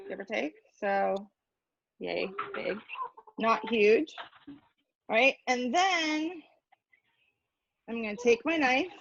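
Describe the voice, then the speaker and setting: A woman's voice: high voice, muffled recording, slight background noise. A woman giving a casual hands-on cooking demonstration, chatty, playful and self-deprecating as she explains each step. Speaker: cooking instructor.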